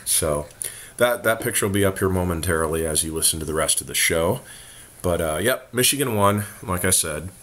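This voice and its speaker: A very deep-voiced man with a hoarse, worn voice hosts a casual sports chat. He keeps it laid-back, joking with his co-host as he runs through the games. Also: a little fast, fairly bright tone. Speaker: podcast host.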